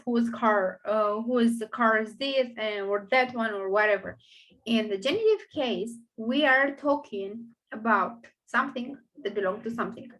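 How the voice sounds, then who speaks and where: A woman's voice: a little high, a bit bright, low-quality recording. A woman with a Romanian accent, a native Romanian speaker, teaching a grammar lesson in English, explaining step by step and thinking aloud with fillers. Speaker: language teacher.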